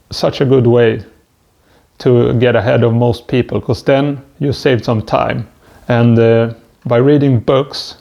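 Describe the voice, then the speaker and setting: Swedish-accented man, gravelly and mellow. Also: mid-range voice. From Sweden. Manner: hesitant, stumbling with frequent "uh" fillers. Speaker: vlogger. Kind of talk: self-help advice monologue.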